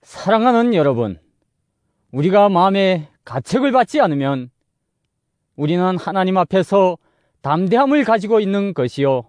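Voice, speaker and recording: A man reading scripture as a dramatized narration, in a solemn, deliberate, exhorting manner over background music. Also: high voice, big pitch swings, darkish tone, clean tone, dry recording. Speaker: Bible narrator.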